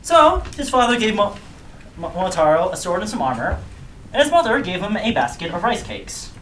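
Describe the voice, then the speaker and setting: Casting: female comic storyteller, mid-pitched with big swoops, echoey noisy room. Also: big pitch swings, brisk. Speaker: puppet show storyteller. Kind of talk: scripted live story narration, comic asides.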